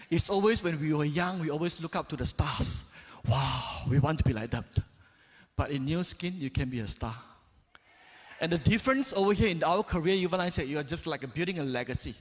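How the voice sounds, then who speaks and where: A man in his forties delivers an emphatic motivational speech, swinging between loud peaks and low drops. His voice sits a little high for a man. The sound is dull and washed in the long echo of a big hall. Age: 40s. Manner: peppers talk with "right?" and "yes or no?" to the audience. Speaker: motivational speaker.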